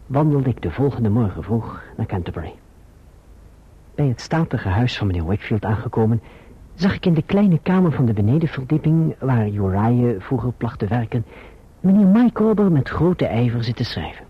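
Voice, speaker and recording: Earnest young gentleman, literary radio drama, formal diction, lightish husky voice, dull lo-fi recording. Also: medium pitch, very animated, normal speed. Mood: reflective, wistful. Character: earnest, gentlemanly young Englishman narrating his own story.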